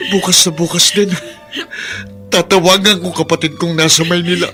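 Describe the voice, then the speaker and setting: A proud, hurt Filipino husband and father in a radio drama. His voice is fairly high and forceful, with a heavy emotional delivery and faint music behind. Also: fairly even intonation, normal speed. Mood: bitter, furious. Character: embittered lame husband and father, wounded pride.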